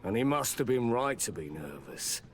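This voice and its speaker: A man acts a tavern gambler in a fantasy game, mid-pitched with very lively intonation. He is wary and plain-spoken as he recounts what he knows. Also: normal speed, close to an everyday voice. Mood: recalling. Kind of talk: video game dialogue acting.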